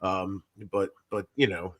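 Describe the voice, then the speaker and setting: A man's voice: deep voice, little pitch movement, measured pace, over a noisy crowd. A man hosting a casual podcast, talking in a rambling way that trails off mid-thought. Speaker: podcast host.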